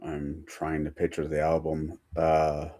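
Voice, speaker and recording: A man chatting casually as a panel guest. His voice is very deep, and he speaks slowly, feeling his way with pauses and fillers. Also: monotone, warm tone. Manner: hesitant, many fillers.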